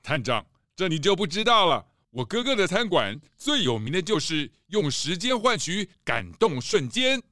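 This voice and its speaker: A man's voice: high-pitched, sing-song, moderate pace, hardly any pauses, bright, forceful tone, no room sound, exaggerated voice. A man playing a jovial, hospitable panda school principal in a children's audio drama, effusive and full of exclamations. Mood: hospitable, eager.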